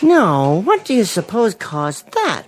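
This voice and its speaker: Fussy, high-voiced meek salesman. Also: wildly swooping pitch, takes it slowly, exaggerated voice. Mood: puzzled. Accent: American. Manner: hesitant, prissy, wordy, formally polite. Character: meek, fussy, talkative male vacuum-cleaner salesman. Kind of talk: radio drama acting.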